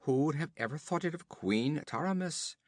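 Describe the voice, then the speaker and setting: A man voicing a hard, boastful outlaw chief who gives orders in a raised, loud voice. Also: fairly high pitch, lively intonation, normal speed, dry recording, voice pushed up, close to an everyday voice. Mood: swaggering. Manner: boastful, commanding.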